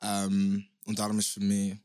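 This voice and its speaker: A man's voice: on the low side, monotone, unhurried, darkish tone, clear, resonant tone, no room sound, studio quality. A young man, a guest rapper chatting casually in a podcast and rambling with restarts.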